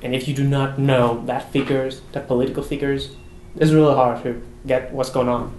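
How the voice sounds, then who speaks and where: Young guy, international student, thoughtful, room echo and faint rumble. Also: level tone, normal speed. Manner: thoughtful, gestures, laughs softly. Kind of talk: casual interview. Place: college room by windows.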